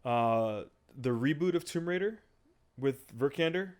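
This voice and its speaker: A man co-hosting a podcast in a casual chat, animated as he steers the discussion. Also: mid-range voice, a little slow, a little hiss or hum. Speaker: podcast co-host.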